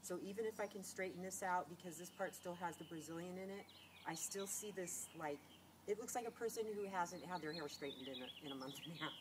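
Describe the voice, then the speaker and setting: A woman's voice: lowish voice, brisk. Nasal-sounding woman with a cold, outdoors. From California. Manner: chatty, rambling with many fillers and restarts. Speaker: beauty vlogger. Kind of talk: casual talk to camera. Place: outdoors against a sunlit wall. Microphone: camera on a tripod. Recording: noisy outdoor camera audio.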